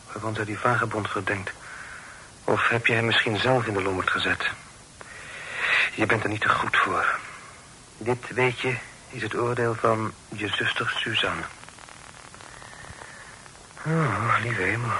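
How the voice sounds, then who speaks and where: An actor playing a wry, exasperated young gentleman in a period radio drama, with a mannered, deliberate way of speaking. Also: medium pitch, gravelly, full character voice. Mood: exasperated, musing. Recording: faintly noisy old radio play recording.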